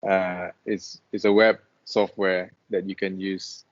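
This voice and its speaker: A young man from Malaysia, a freelance web developer, talking casually on a video call as an interview guest, relaxed and hesitant, with many restarts. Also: low-pitched, takes it slowly. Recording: dull lo-fi call audio.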